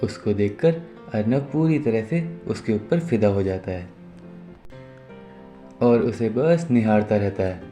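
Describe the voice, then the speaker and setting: A man's voice: lowish voice, expressive, slow pace, pauses often, warm tone. A man narrating a scripted moral story as its storyteller. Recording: music behind the voice.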